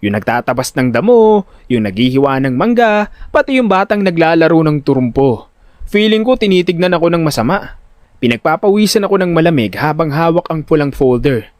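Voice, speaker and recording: Male first-person story narrator: lively swooping intonation, expressive and exasperated, close dry recording. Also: fairly high pitch, a little fast, no echo. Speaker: narrator and lead voice actor.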